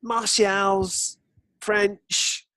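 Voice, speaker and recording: A man's voice: high voice, flat delivery, very slow, sharp tone, no room sound. A man co-hosting a football podcast, chatting casually with irreverent, sweary, joking banter.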